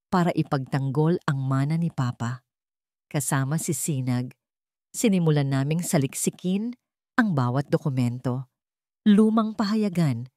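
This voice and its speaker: A woman narrates a scripted drama in the first person. Her voice sits low, and the recording is dry, with very little room around it. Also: a little mellow, no echo. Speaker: story narrator.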